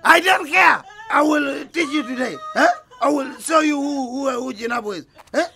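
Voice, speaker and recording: An actor playing an angry, domineering village husband who blusters and stammers in a radio drama. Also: male voice, extremely high pitch, moderate pace, gravelly, shouting, over music. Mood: furious, threatening. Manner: blustering, stammering when worked up.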